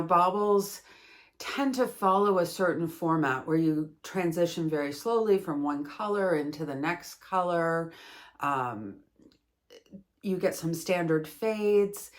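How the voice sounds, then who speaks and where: Canadian woman, host of a craft review video, low-pitched and unhurried, rambling and self-deprecating. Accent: Canadian English. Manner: chatty and rambling, self-deprecating, laughs at herself. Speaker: yarn reviewer, channel host. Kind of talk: casual chat, product review.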